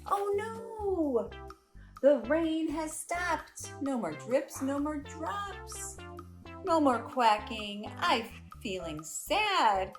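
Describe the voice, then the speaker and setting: Woman putting on a high, lively little storybook duckling. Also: extremely slow, over music, exaggerated voice. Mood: disappointed. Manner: sing-song rhymes, simple and bouncy. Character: excitable, playful little duckling in a picture book. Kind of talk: storybook read-aloud for young children.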